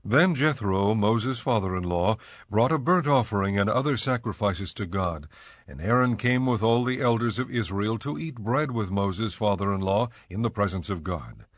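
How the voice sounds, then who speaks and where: A man narrating scripture for a dramatized audio production in a measured, formal storytelling manner. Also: fairly low pitch, lively intonation, dry recording. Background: soft music.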